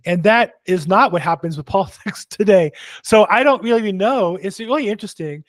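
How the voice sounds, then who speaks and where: A man's voice: high voice, fairly quick, no room sound. A man hosting a casual interview, chatty and joking, with lots of fillers. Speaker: host.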